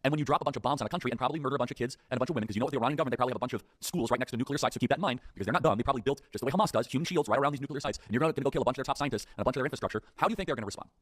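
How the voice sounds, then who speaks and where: A man rattles off a political talk-show monologue at breakneck speed, pressing his points with emphatic rhetorical questions. His pitch is ordinary, and the sound is close and dry, with no room around it. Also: racing, hardly any pauses, dry recording.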